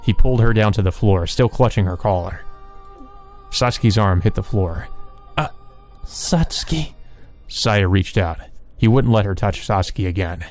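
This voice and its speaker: A man's voice: lowish voice, normal speed, a little mellow, soft background music. A man reading visual novel story text aloud as narration for a let's play.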